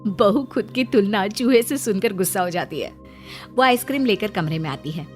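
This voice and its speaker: A woman's voice: medium pitch, expressive, normal speed. Woman narrating a story over music.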